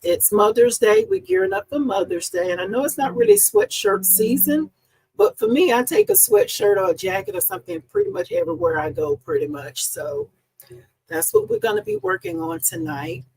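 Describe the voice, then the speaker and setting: A woman hosting a live crafting stream from her home craft room, chatty and upbeat, slightly hoarse because she is losing her voice. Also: high-pitched, wildly swooping pitch, moderate pace, a little mellow, low-quality recording. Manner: chatty and upbeat, greets viewers by name. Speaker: host and embroidery business owner. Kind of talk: casual live-stream chat. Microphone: live-stream setup.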